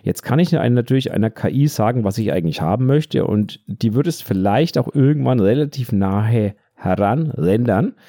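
A man co-hosts a casual podcast. His voice sits low and is very soft-edged and mellow, recorded close and dry. He explains in an unscripted way, repeating himself to make a point. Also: expressive, moderate pace, few pauses, no echo. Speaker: podcast co-host. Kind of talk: casual unscripted podcast chat about photography.

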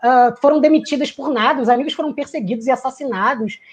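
A woman's voice: sits high, fast talker, slightly rough. A woman who works in theater giving a reflective talk, musing aloud, searching for words and acting out quoted lines.

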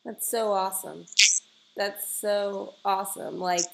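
A woman's voice: medium pitch, fairly even intonation, measured pace, vocal fry, very noisy. A woman hosting a podcast interview, talking in an enthusiastic, chatty way with many fillers. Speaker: podcast host.

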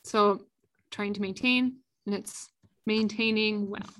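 A woman's voice: mid-range voice, steady tone, takes it slowly, fairly bright tone. Playful teasing woman in her thirties. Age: 30s. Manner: playful, teasing, laughs often. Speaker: podcast co-host. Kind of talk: casual faith podcast chat. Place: in a room with the host, in person.

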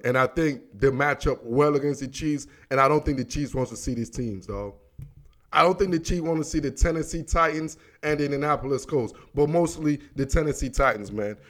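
High-pitched, slangy American sports commentator guy. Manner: animated, emphatic, repeats himself, addresses listeners as dog and baby. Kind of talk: casual, slangy football commentary monologue.

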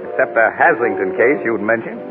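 An American actor playing a confident, wry, tough crime photographer in a 1940s radio drama. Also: male voice, medium pitch, animated, a little fast, close to an everyday voice. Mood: wry, explaining. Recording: tinny 1940s radio, music behind.

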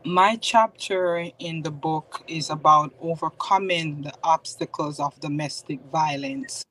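A woman's voice: deep voice, fairly bright tone, poor audio. A middle-aged woman from Jamaica with a Jamaican accent, giving an earnest testimony as a guest author on a podcast. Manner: measured, earnest, faith-centred.

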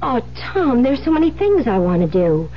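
An American actress playing a frightened, anxious new bride who speaks hesitantly and evasively, in 1970s radio drama style. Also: female voice, a little high, sing-song, moderate pace. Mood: nervous, pleading. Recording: muffled, hissy old radio broadcast with hum.

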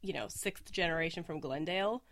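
A woman in her late twenties from Oregon hosting a casual podcast, chatting with frequent likes and quick laughs. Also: deep voice, a bit bright, dry recording. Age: late 20s. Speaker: podcast host. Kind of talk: casual conversational podcast chat.

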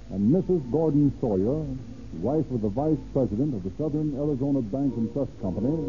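A man narrating a 1930s radio drama in a formal, deliberate storytelling style. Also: mid-range voice, natural voice. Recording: tinny, narrow-band old radio recording.

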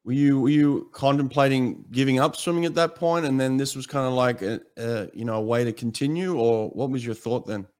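A man's voice: medium pitch, fairly quick, few pauses, a little mellow, clear, resonant tone. Easygoing male interviewer from Australia. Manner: easygoing, asks long rambling questions. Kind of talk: casual interview.

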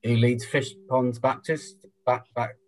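Male podcast guest, medium-pitched, reflective and thoughtful, feeling his way through each sentence. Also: steady tone, slow pace. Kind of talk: reflective conversation.

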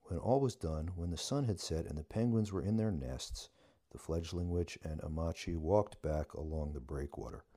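A man's voice: sits low, moderate pace, mellow. A man reading a short story aloud as scripted narration. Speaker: story reader.

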